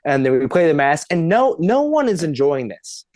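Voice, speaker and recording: A man's voice: a little high, very animated, moderate pace, darkish tone, no echo, clean studio sound. A man in his twenties, a podcast guest, telling a long funny story in casual chat, laughing and swearing as he goes.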